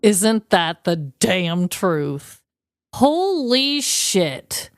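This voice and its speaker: A woman's voice: medium pitch, sing-song, drawn-out words, a little hiss or hum. A woman co-hosting a podcast in casual chat, with an informal, blunt way of talking. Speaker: podcast co-host.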